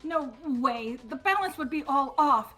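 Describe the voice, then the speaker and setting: A woman's voice: sits high, lively intonation, a little slow, bright, forceful tone, soft background music, exaggerated voice. A woman voicing a stammering, haughty, venomous young woman with an obsessive devotion to her master, in a fan-voiced game. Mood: disdainful. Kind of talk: fan-voiced visual novel game acting.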